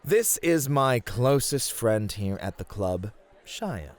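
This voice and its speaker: A man's voice: medium pitch, sing-song, slow pace. A man playing a flirty, doting bunny host in an ASMR roleplay, speaking affectionately and attentively to a guest. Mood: friendly, attentive. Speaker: voice actor in an audio roleplay.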